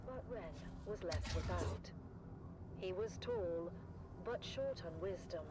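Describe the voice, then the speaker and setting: A performer playing a storytelling balladeer in a video game, reciting a rhyming folk tale in measured, lilting verse. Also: medium pitch, lively intonation, very noisy. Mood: wistful storytelling.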